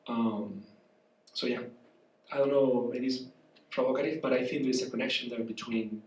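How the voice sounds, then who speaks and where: A man with a Spanish accent, congested and soft-voiced, speaking haltingly as a guest professor in a public conversation. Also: mid-range voice, steady tone. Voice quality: soft, weak voice. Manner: halting, searching for words, apologetic. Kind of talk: public conversation, reflective lecture talk. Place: café. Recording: echoey room from far off.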